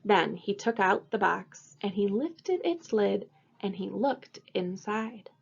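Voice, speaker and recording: A woman's voice: mid-range voice, expressive, takes it slowly, vocal fry, slightly rough. A woman who teaches first grade, reading a picture book aloud expressively for children. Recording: dull lo-fi audio.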